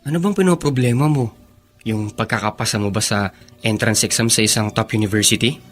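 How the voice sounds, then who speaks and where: A man's voice: on the low side, brisk, soft background music, lightly acted. A man playing a responsible, protective young eldest son in an earnest radio drama. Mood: reassuring.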